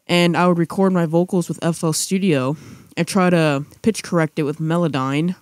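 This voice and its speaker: A man's voice: a little high, steady tone, moderate pace, no room sound, studio quality. A young man chatting casually as a podcast co-host, rambling with restarts and fillers. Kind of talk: casual chat.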